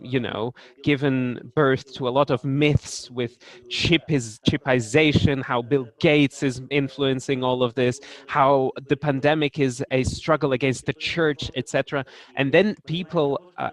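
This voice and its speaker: A man speaking in an online panel discussion, hesitant, with frequent restarts and fillers. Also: mid-range voice.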